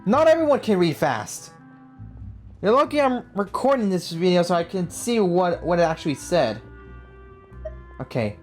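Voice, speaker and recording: A man's voice: high voice, big pitch swings, moderate pace, long pauses. A young man giving casual let's play commentary as a gaming host, slangy and often saying bro. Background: game music.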